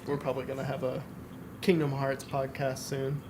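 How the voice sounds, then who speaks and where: A man's voice: mid-range voice, monotone, moderate pace, background noise. A man co-hosting a podcast in casual, conversational talk. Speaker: podcast co-host. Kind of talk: casual chat.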